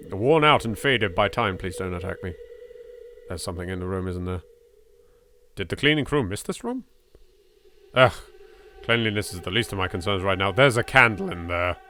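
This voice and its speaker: A man's voice: fairly low pitch, pushed and bright, a little hiss or hum. A British man doing a let's play, reading horror game text aloud with theatrical expression and dropping in his own sweary asides. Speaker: video game let's player.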